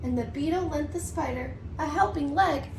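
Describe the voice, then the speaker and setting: Female preschool teacher, read-aloud storyteller: high, slightly creaky, sing-song, recorded at a distance in an echoey space. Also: moderate pace. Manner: sing-song, expressive, rhythmic reading. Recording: distant mic, roomy echo, faint noise.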